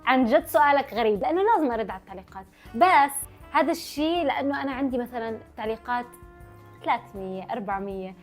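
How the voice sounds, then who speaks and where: A woman's voice: fairly high pitch, animated, rapid-fire, soft background music. A young adult woman with a Levantine Arabic accent, chatting casually to her followers as a vlogger and running her words together. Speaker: vlogger answering followers' questions.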